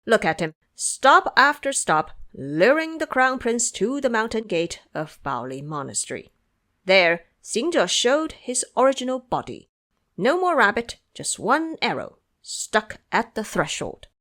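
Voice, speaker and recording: A woman narrating an audio drama as a scripted story, with expressive, dramatic delivery. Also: on the high side, unhurried.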